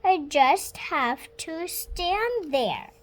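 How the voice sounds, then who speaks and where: A girl of about six reading a children's storybook aloud, halting word by word, stumbling and correcting herself. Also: extremely high pitch, big pitch swings. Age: child, about 6 years old. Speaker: young reader practising reading aloud.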